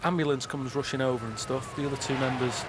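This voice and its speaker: A man chats quickly as he tells a meandering tale to friends. He is matter-of-fact and vague about details, with faint noise behind him. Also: medium pitch, fairly even intonation. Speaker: podcast co-host and storyteller. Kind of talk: casual anecdote telling among friends.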